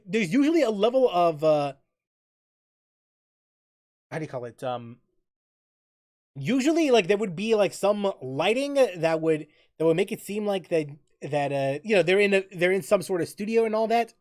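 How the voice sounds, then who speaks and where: A man hosting a livestream in casual, rambling chat, often halting to repeat and rephrase himself mid-sentence. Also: high-pitched, very animated, darkish tone. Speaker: livestream host.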